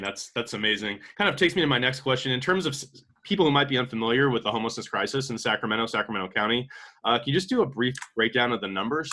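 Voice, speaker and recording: Male podcast host, quick and bright, casual questions with fillers. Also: on the low side. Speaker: interview host. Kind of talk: interview questions.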